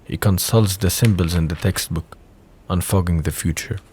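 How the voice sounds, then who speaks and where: Deep-voiced male audio drama narrator. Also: fairly even intonation, moderate pace, a little mellow, slight background noise. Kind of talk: scripted audio drama narration, reading stage directions.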